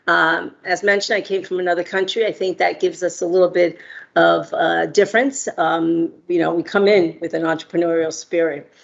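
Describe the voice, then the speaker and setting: A woman's voice: lowish voice, steady tone, normal speed, gravelly, dull audio. A middle-aged woman from Argentina giving a talk as an entrepreneur, friendly, with many ums and uhs. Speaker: guest speaker, entrepreneur. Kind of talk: prepared remarks with fillers.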